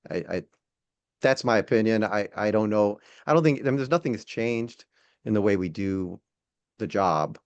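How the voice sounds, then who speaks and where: A man speaking casually as an interview guest and instructor, in a hesitant, halting way with repeated words. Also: lowish voice, expressive, smooth. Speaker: podcast guest, air traffic control instructor. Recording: dull, lo-fi sound.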